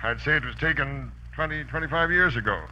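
An actor playing a gruff, slangy police captain in a 1940s radio crime drama. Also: male voice, a little high, brisk, bright, forceful tone. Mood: gruff, matter-of-fact. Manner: blunt, slangy tough talk. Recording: dull, noisy old radio recording.

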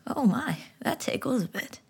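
A woman voicing a small, kind talking frog that speaks warmly and with amusement. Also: a little high, big pitch swings, normal speed, gravelly, husky, pitched above the performer's own voice, heavily acted. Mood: gentle amused. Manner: warm, amused, whispery.

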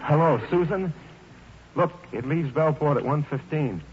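A man's voice: a little high, unhurried, lightly acted. An actor playing a polite, eager young American soldier in an old-time radio drama, hesitant and earnest as he stammers over his words. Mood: anxious, tender. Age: young adult. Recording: muffled, noisy old radio recording.

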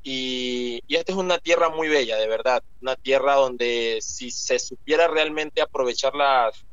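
Young male guest, mid pitch, rambling and filler-heavy, lo-fi recording. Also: measured pace, fairly bright tone, smooth, no echo. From Ecuador. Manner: rambling, with many fillers and restarts. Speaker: guest author. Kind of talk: casual interview talk. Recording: muffled lo-fi sound.

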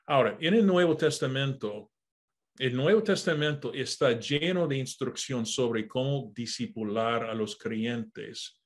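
A man pastor leading an online Bible study over a video call, talking with many "ah" fillers and correcting himself as he goes. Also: mid-range voice. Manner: unhurried, with frequent "ah" fillers and self-corrections.

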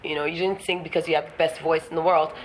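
A woman's voice: low voice, steady tone, fast, low-quality recording. Frank ghanaian-born woman, laughs a lot, slight hiss in the recording. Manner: frank, reflective, laughs often, swears casually. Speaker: guest artist. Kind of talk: casual interview talk.

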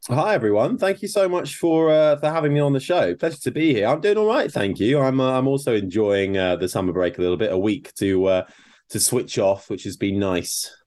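A man's voice: medium pitch, lively intonation, brisk, darkish tone, no echo. A man chatting casually as a guest on a podcast, loose and full of fillers and restarts. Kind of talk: casual chat.

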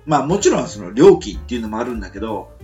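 A man's voice: on the low side, sing-song, fast talker, dark tone, highs cut off, faint music behind. A man with a Kansai accent co-hosting a casual radio chat, rambling with many hesitant fillers. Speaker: podcast co-host.

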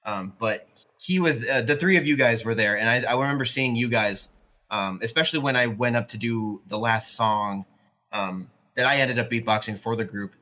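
Bright-voiced young man rambling casually. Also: medium pitch, moderate pace. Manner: rambling, many ums and restarts. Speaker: podcast co-host. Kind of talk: casual podcast chat.

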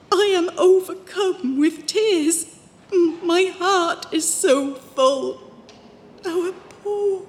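A woman acting in an audio drama as a fervent, fearful wandering visionary, speaking with earnest, pious emotion. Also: extremely high pitch. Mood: tearful. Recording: reverberant hall, faint background noise.

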